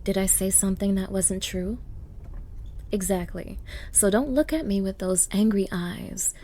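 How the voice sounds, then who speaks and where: A woman's voice: mid-range voice, unhurried, warm tone, close to an everyday voice. A woman playing a spoiled, contemptuous young gold digger in an ASMR roleplay, talking in a condescending, mocking, bossy way. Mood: angry, demeaning. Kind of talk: ASMR roleplay audio acting.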